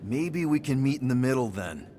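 A man's voice: mid-range voice, animated, normal speed, warm tone, a little hiss or hum, lightly acted. A man playing a young, exasperated space-outlaw leader in a video game, talking in a casual, wry way. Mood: earnest. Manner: informal, wry. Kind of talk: conversational video game acting.

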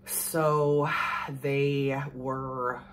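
A woman in her thirties from Canada, hoarse from a cold, chatting casually and rambling to the camera at home. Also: a bass voice, level tone, very slow, slight background noise. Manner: rambling, chatty, laughs often. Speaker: vlogger. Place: small room at home, indoors. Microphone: camera in front at desk distance.

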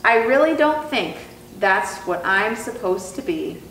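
A woman's voice: medium pitch, very animated, unhurried, a bit bright, far from the mic, pitched below the performer's own voice. A woman voicing a lost little baby turtle in a children's storybook, plaintive and politely insistent. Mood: politely refusing. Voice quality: tiny voice. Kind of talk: expressive children's storybook character reading. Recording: roomy sound with faint noise.

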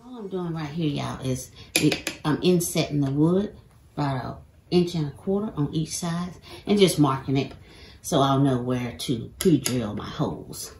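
A middle-aged woman with a low voice walks through each step of a woodworking build in casual, friendly how-to talk. The sound is a bit roomy, as if from a camera mic in a home workshop, with faint ambience behind her. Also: lively intonation, moderate pace, a little mellow, a little hiss or hum. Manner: chatty, explains each step, says "y'all". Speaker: DIY woodworking creator. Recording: echoey workshop room.

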